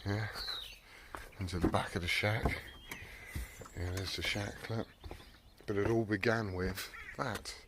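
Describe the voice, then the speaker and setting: A British man with a fairly low voice talks off the cuff in a rambling, blunt way. He sounds like a hobbyist making a casual vlog. The recording has plainly audible vehicle-like noise around him. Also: fairly even intonation, a little slow, long pauses. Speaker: hobbyist vlogger. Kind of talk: casual unscripted chat.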